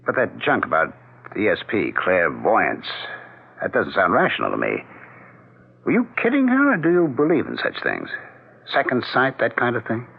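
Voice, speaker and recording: Skeptical, irritable husband, low husky voice, scoffing, 1970s radio drama, muffled vintage sound. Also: male voice, huge pitch swings, moderate pace, exaggerated voice. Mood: irritated, skeptical. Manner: dismissive, blunt, swinging from smooth politeness to scoffing. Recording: muffled, narrow-band 1970s radio recording.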